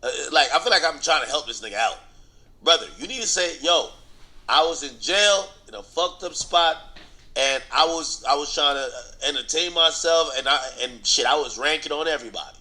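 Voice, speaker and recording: A man's voice: a little high, expressive, normal speed, sharp tone. A man giving casual, slangy commentary on celebrity gossip, bluntly and with repeated phrases for emphasis. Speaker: online commentator and host.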